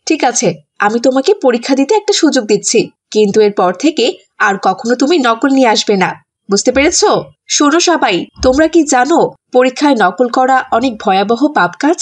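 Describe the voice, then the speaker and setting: A woman's voice: sits high, lively intonation, a little fast. A woman voicing a strict female schoolteacher in a children's cartoon, instructing like someone used to being obeyed. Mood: firm, moralizing. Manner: scolding, instructive, ends orders with check questions.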